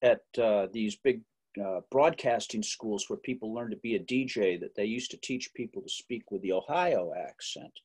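A man's voice: fairly low pitch, normal speed, a little mellow, husky. A middle-aged man from Massachusetts chats through an interview at an easy pace. He jokes and circles back with fillers and restarts as he tells stories about growing up. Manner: chatty, joking, many fillers and restarts. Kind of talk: relaxed interview storytelling.

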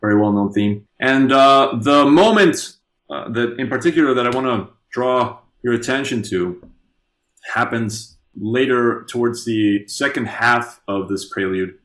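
A man's voice: medium pitch, unhurried, fairly bright tone. A young adult man from Mexico, a composer and guitarist, chatting eagerly as a podcast guest, often restarting and repeating words. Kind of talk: casual podcast chat.

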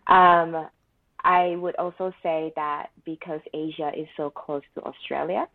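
Woman, thirties, low voice, chatty with easy laughs, dry tinny phone-like sound. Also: fairly even intonation, a little slow, creaky, no echo. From Malaysia. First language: Mandarin Chinese. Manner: chatty, frequent laughs and fillers. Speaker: therapist guest. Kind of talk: casual interview conversation.

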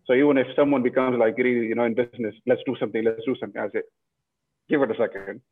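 A man's voice: medium pitch, fairly even intonation, very fast. A man from Pakistan, a guest in a casual interview, speaking reflectively with many restarts and "you know"s. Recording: tinny phone-like line.

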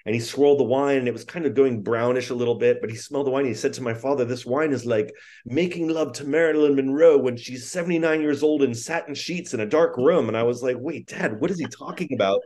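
A middle-aged man from England, a guest on a podcast, telling anecdotes in a casual, lively way and acting out the voices of the people he quotes. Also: mid-range voice, fast talker. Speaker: podcast guest, wine negotiant. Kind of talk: casual storytelling interview.

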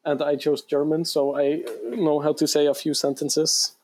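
Young man, from Iceland, warm dark tone, casual rambling chat. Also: a little high, normal speed, low-quality recording. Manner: relaxed, rambling, many fillers. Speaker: guest. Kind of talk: casual interview talk.

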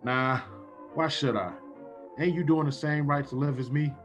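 A man's voice: a little high, steady tone, moderate pace, close to an everyday voice. An actor playing a tough, slangy, streetwise man in an old-time radio drama. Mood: softened. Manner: slangy tough-guy talk. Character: tough, suspicious streetwise man with a soft streak. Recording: dull sound with music.

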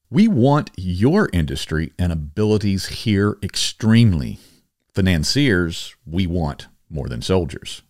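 Low-pitched man reading formally with lively intonation. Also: no room sound, studio quality. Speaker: reader and host. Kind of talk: reading historical letters aloud.

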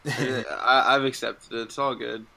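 A man's voice: mid-range voice, flat delivery, normal speed, gravelly, pushed and bright, a little hiss or hum. A man around twenty, a college student, chatting casually on a podcast in an easygoing, laid-back way. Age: 20s. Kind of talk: casual group chat.

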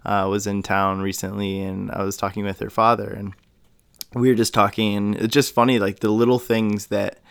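Guy hosting a podcast, smooth low voice, lots of likes and ums, dry mic. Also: little pitch movement, normal speed, no room sound. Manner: friendly, rambling questions with many fillers. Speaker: podcast host. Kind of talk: casual interview chat.

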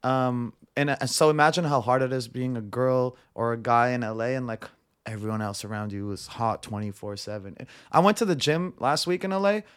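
A man's voice: medium pitch, fairly quick, smooth. A young man raised in Montreal, a podcast guest telling stories in casual chat, blunt and profane, acting out the dialogue. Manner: animated, acts out quoted dialogue.

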